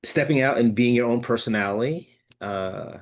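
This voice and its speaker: A man's voice: medium pitch, moderate pace. A man on a video call adding a reflective point, with frequent fillers. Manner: reflective, many "uh" and "just". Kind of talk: casual group video call.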